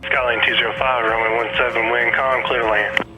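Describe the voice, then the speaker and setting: A man's voice: mid-range voice, flat delivery, a little fast, loud music behind the voice. A man working as a tower controller, giving clipped instructions over aviation radio. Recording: tinny tower radio with faint drone.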